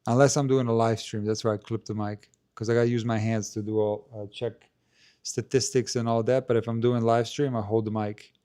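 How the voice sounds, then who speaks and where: Low-voiced man hosting a live Q&A. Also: flat delivery, a little fast, mellow, clean tone. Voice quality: low, not strong voice. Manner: chatty, hedging, many fillers and restarts. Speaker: tennis channel host. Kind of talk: live stream Q&A, casual chat. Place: indoors, talking to camera. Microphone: handheld mic held close.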